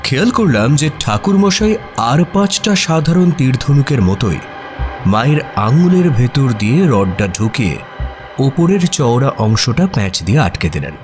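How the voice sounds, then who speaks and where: Man playing an animated, observant mystery narrator. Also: mid-range voice, moderate pace, soft background music, close to an everyday voice. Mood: observant, suspenseful. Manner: expressive, suspenseful storytelling. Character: male detective's companion narrating a mystery, observant and engaged. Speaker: story narrator. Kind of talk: dramatic scripted audio story narration.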